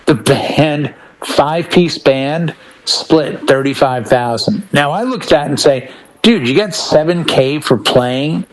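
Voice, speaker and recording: A man's voice: fairly high pitch, slow. Casual man telling music-business anecdotes. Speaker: DJ and podcast panelist. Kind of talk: casual panel chat, anecdotal storytelling.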